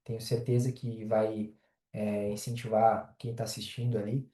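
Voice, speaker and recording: Very dark-voiced Brazilian man, distant and echoey. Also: fairly low pitch, level tone, moderate pace. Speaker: interview guest. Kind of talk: conversational advice in an interview. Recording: roomy echo, distant from mic.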